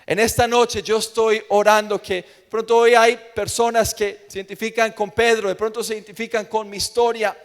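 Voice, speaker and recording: A man's voice: sits high, level tone, normal speed, few pauses. A man with a Colombian accent preaching an evangelical sermon in an emphatic manner, repeating phrases for effect. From Colombia, grew up in Medellín. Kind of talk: evangelical sermon with personal testimony. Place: large church room with long reverb. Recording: long hall reverb.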